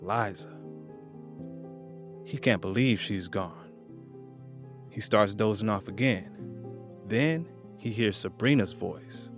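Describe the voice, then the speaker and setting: Low-voiced man narrating over music. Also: slow pace, long pauses. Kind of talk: scripted audio drama narration, present tense.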